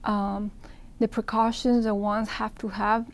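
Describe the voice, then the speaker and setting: Creaky-voiced woman from Ecuador explaining. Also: mid-range voice, little pitch movement, measured pace. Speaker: interviewed surgeon. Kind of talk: explanatory medical interview. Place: indoor event room with banner backdrop.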